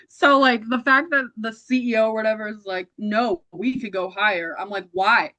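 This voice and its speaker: A woman co-hosting a podcast in casual chat, often chiming in with quick yeahs. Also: a little high, pushed and bright, no echo. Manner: chatty, quick agreeing fillers. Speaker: podcast co-host.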